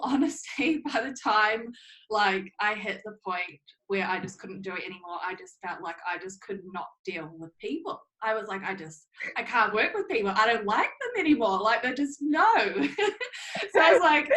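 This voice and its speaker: Young NZ woman, relaxed interview guest, middle-pitched and talkative. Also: rough recording. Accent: New Zealand. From New Zealand. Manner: chatty, laughs often, many fillers. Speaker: interview guest, social media manager. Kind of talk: casual interview talk.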